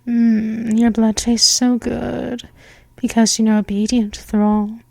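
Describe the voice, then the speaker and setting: A woman playing a possessive female vampire mistress, speaking softly and hypnotically with drifting whispers, close to the mic. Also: a little high, flat delivery, takes it slowly, vocal fry, exaggerated voice. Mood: seductive, possessive. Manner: coaxing, repetitive, counts down. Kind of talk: ASMR hypnosis roleplay.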